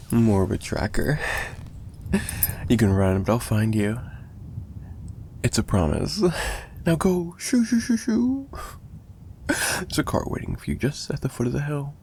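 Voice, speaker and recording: A man's voice: fairly low pitch, creaky, darkish tone, slight background noise, full character voice. A man playing an obsessive, menacing mafia kidnapper in an ASMR roleplay, possessive and teasing, with a taunting delivery that swings between murmurs and giggles. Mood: smug, playful. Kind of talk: dramatic ASMR roleplay monologue.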